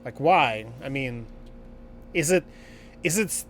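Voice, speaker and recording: A man's voice: mid-range voice, lively intonation, slow, slight background noise. A man livestreaming a game and chatting casually, in a blunt, joking and profane way. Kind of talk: casual livestream chat while gaming.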